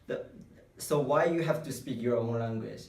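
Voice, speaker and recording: A young man with a Japanese accent speaks as a student in a casual interview. His English is halting and non-native, with words often repeated as he goes. The recording is roomy and echoing, with the microphone some way off. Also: mid-range voice, creaky, a little mellow. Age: young adult. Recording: roomy echo, distant microphone.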